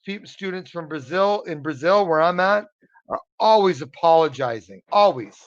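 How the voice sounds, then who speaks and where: A man's voice: fairly high pitch, expressive, moderate pace. Earnest American man on a muffled video call. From United States. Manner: earnest, encouraging, repeats words while searching for them. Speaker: English tutor. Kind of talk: motivational one-on-one online lesson.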